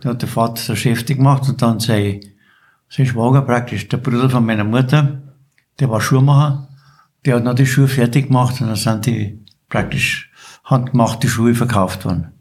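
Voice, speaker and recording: A man's voice: mid-range voice, fairly even intonation, normal speed, darkish tone. A man in his eighties with a Bavarian accent, casually reminiscing as a podcast guest. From Bavaria, Germany. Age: 80s. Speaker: podcast guest, local veteran.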